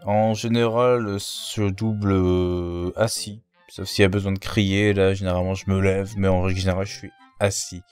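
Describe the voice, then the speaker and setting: A man who voices parts in a fan dub, speaking in a deadpan, self-deprecating way. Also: low-pitched, moderate pace, few pauses, clear, resonant tone, faint music behind. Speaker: fandub voice actor. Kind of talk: casual recorded answer.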